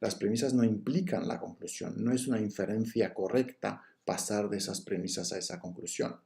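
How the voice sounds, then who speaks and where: A man's voice: lowish voice, fairly even intonation, brisk, gravelly, mellow. A man giving a recorded university lecture on logic, explaining step by step with frequent fillers and restarts.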